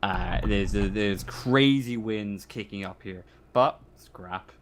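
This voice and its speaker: A man with a fairly low voice narrates a tabletop roleplaying game. He improvises as he goes, haltingly circling back over phrases. Faint noise sits behind the voice. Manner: halting, searching delivery with repeated phrases. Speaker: game master.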